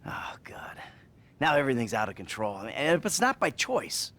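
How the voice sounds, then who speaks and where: A man playing a troubled middle-aged American criminal in a video game, rambling, swearing and justifying himself in a husky voice. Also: mid-range voice, huge pitch swings, moderate pace, a bit bright. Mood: rueful, defensive. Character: troubled, volatile middle-aged male career criminal confessing in therapy. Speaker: therapy patient. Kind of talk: naturalistic video game cutscene acting.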